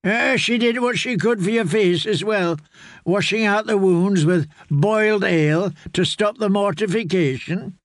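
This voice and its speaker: A man voicing a kindly, courteous old man in rags who tends wounds, in a slow, soothing storybook reading. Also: sits high, no room sound, studio quality, pitched above the performer's own voice. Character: kindly old ragged man, gentle healer.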